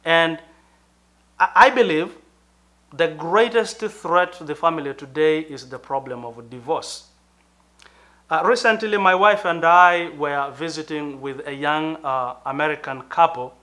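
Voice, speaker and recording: A middle-aged Tanzanian man with a Tanzanian accent speaks as a pastor, earnest and measured, pausing to think. His voice sits a little high for a man and has a bright, forceful edge. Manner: earnest, measured, with thoughtful pauses and fillers. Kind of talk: sermon-like talk to camera.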